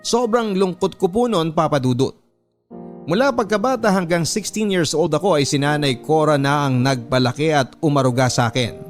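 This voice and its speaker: A Filipino man reading a heartfelt first-person story as a radio drama narrator. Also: on the high side. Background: soft music.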